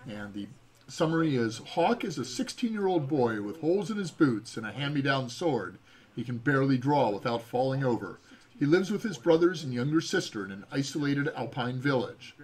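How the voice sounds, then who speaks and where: American man, mid-pitched, dry joking co-host. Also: expressive, moderate pace, rough recording. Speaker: livestream co-host. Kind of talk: casual livestream chat and reading aloud. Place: home room. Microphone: webcam-style setup, no headset, at desk distance.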